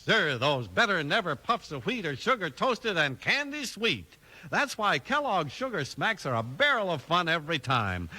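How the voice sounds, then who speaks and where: A man's voice: fairly high pitch, sharp tone. A man with an American accent delivering an exuberant, emphatic 1950s radio commercial as announcer.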